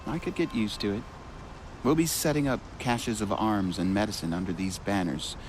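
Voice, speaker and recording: A man playing a friendly, modest young villager in a video game, speaking informally and gently. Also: on the low side, normal speed, a little hiss or hum, natural voice, low-quality recording. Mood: friendly, light. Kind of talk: video game cutscene acting.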